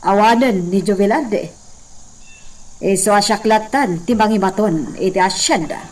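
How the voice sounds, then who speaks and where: A woman's voice: mid-range voice, a little slow, natural voice, poor audio. A woman with an Ilocano accent playing a determined lady taking charge of a hacienda in a radio drama.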